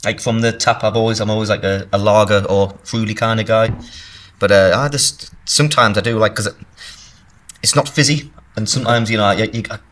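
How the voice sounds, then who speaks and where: Chatty North East host in a pub. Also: male voice, on the low side, steady tone, brisk, fairly bright tone, slight background noise. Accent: North East English. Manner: chatty and digressive, stumbling over words. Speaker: podcast host. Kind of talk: casual pub podcast chat.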